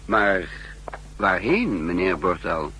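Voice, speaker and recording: A man's voice: low-pitched, very animated, slow, sharp tone, heavily acted. A man playing a sly, composed spymaster who narrates and acts out his scheme in a 1960s radio drama, knowing and insinuating. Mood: feigned helpfulness, sly. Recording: faintly noisy vintage radio recording.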